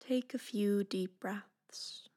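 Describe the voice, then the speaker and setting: Slow, soft woman guiding meditation. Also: medium pitch, fairly even intonation. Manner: gentle, unhurried, deliberate instruction. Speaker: meditation guide. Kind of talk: guided meditation narration.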